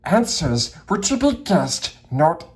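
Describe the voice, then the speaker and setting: An anxious but stubborn little male hobbit, voiced by a woman reader, pitched well above her own voice. He calls out and insists with a quiet, pressing edge from a storybook reading. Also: very animated, slow, exaggerated voice. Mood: insistent. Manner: insistent, calling out.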